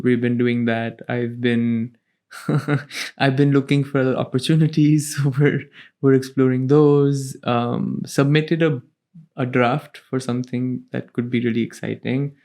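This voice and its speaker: Brief: young male co-host, casual rambling chat, mid-pitched, teasing. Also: a little mellow. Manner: rambling, restarts phrases, teasing. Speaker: podcast co-host.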